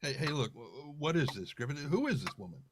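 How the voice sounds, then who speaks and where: A man's voice: on the high side, sing-song, brisk, a bit bright. A man playing a confident but easily flustered, skeptical homebuyer in a sputtering 1940s radio drama manner. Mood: flustered, curious.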